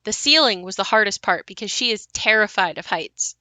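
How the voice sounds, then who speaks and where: A woman's voice: mid-range voice, animated, fairly quick, sharp tone, no room sound. A woman acting a wistful, reflective grown daughter haunted by her ruined childhood home in an audio play.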